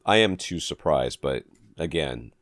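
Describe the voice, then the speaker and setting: Deep male podcast co-host, animated delivery, reads listener mail, dry booth sound. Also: unhurried, dry recording. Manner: reads listener emails aloud, joking asides. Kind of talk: casual podcast chat.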